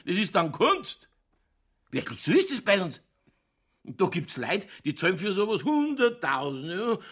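A drunken, middle-aged police chief from a radio crime play, with a thick Lower Bavarian accent. His voice is fairly high and gravelly, with lively swings. He rants and rambles, folksy, crude and world-weary. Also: heavily acted. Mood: mocking, animated. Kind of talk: naturalistic radio crime drama dialogue.

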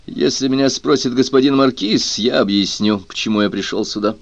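A man voicing an insolent, calm manservant with a croaking voice in an audiobook. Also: medium pitch, brisk, no room sound, dull audio, close to an everyday voice.

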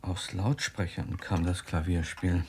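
Radio drama: intense investigating doctor, deliberate delivery, lowish pitch, heavily husky tone. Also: male voice, fairly even intonation, a little mellow. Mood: grave, deliberate. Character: earnest male doctor investigating a sinister mystery. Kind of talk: German radio drama acting, reading aloud.

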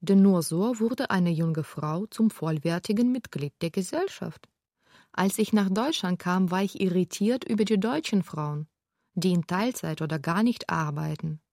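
A woman's voice: fairly low pitch, expressive, unhurried, darkish tone, dry recording, clean studio sound. A woman from Belarus narrating a radio documentary and introducing interviewees.

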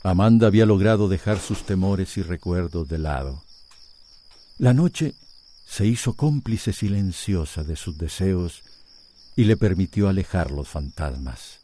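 A man's voice: low voice, expressive, a little slow, warm tone. A man giving scripted, dramatic narration for a radio soap opera.

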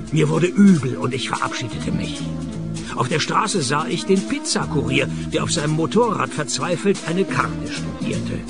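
Wry detective in a radio play, creaky voice, a bit high, loud music behind. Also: male voice, full character voice. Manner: dry, sardonic. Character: wry, world-weary male private detective narrating. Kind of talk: radio play narration and dialogue.

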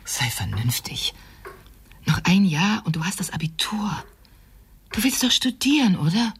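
An actress playing an older, anxious grandmother in a radio drama, fretful and pleading in a breathy voice. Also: medium pitch, big pitch swings, measured pace, slight background noise. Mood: worried, pleading. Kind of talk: German radio drama acting.

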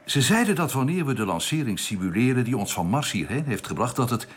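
Sci-fi radio drama role: determined astronaut, husky mid-pitched voice, very animated intonation. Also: male voice, fairly quick. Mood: urgent, determined. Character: determined male astronaut, stranded and eager to get home. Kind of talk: Dutch science fiction radio drama acting.

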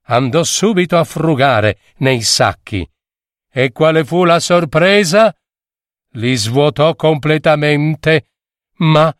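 A man narrating a fairy tale from a script with expressive, theatrical delivery. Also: fairly high pitch, no echo, studio quality. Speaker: storyteller.

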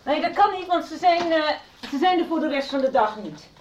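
Flustered, distracted mother, very high lively voice, halting delivery, roomy off-mic radio sound with faint noise. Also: muffled recording. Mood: busy, distracted. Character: busy, flustered, evasive mother organising an exhibition. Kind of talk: radio soap acting. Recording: roomy, distant, noisy radio recording.

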